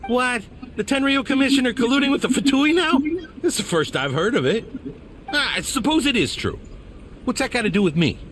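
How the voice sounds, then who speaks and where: A man's voice: very high voice, animated, brisk, a bit bright, a little hiss or hum. An actor playing a nervous male merchant accused of a crime, stammering and flustered. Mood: defensive.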